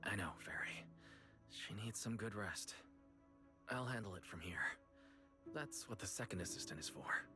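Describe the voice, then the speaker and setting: A calm young man from a video game, protective and gentle. His voice sits fairly low with a bright edge. He talks in a measured, reassuring way over faint music.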